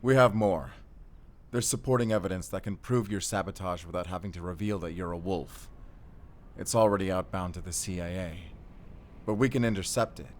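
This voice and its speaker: Spy role, male actor: low register, grim, terse and resolute, protective under threat, audio drama. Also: fairly quick, close to an everyday voice. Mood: tense bargaining. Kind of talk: cinematic audio roleplay acting.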